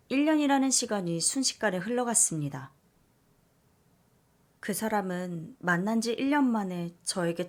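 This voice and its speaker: A woman narrating a listener's letter in first person, soft and confiding. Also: mid-range voice. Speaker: story reader.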